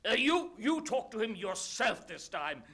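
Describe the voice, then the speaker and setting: A man's voice: high voice, very animated, a little slow, bright, forceful tone, slightly rough, exaggerated voice. An actor playing a hot-tempered, blustering father in a stage farce, ranting in an exasperated, melodramatic way. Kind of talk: stage farce read as audio drama.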